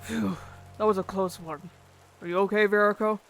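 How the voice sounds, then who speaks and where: Man playing a high-voiced young bird-folk rogue. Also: fairly even intonation, moderate pace, a bit bright, a little hiss or hum. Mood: concerned, gentle. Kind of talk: audio drama acting.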